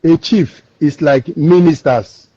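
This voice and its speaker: A Nigerian man from Lagos calls in and explains emphatically, circling back over his words. His voice sits high and husky. The line is dull and lo-fi, and the sound is dry. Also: slow pace, mellow, dry recording. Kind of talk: call-in discussion.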